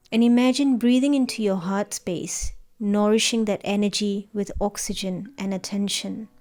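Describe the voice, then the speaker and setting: A woman narrating a guided meditation in a gentle, soothing, unhurried manner. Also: mid-range voice. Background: background music.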